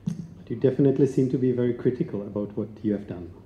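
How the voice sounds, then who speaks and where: A male moderator putting brief, measured questions to a guest at a film-school talk. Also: medium pitch, muffled recording, a little hiss or hum. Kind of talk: interview questions. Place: event hall with an audience. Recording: roomy hall, mic at a distance.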